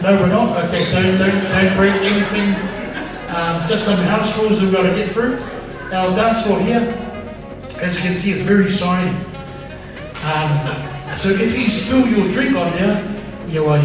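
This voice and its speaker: A man working as the MC at a wedding reception, joking and hyping the crowd over a PA mic in a large banquet hall. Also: high-pitched. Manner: jokey, relaxed, hyping the crowd. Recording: echoey PA in a big hall, from far off. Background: music and crowd chatter.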